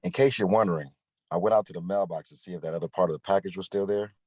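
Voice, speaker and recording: Man playing low, husky, wisecracking sidekick. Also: fast talker, a little mellow, no room sound, studio quality. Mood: teasing. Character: cocky, wisecracking street-smart male sidekick. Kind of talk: table read of a screenplay.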